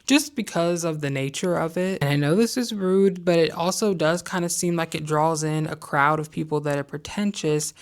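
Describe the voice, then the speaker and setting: A woman's voice: low voice, normal speed, few pauses. A young woman talking candidly to camera in a conversational vlog, sharing opinions with frequent hedges. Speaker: vlogger. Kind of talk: casual talking-head vlog.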